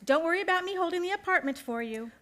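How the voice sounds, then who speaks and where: Very shrill, doting old landlady. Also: animated, fast talker, a bit bright. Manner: folksy, doting chatter. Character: kindly, fussing older female landlady. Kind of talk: radio play acting.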